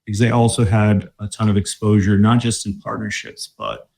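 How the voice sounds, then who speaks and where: A man from Michigan speaking as a guest in a casual interview, relaxed and thoughtful, with many fillers and restarts. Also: on the low side, normal speed, creaky. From Michigan, USA. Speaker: guest, corporate mobility director.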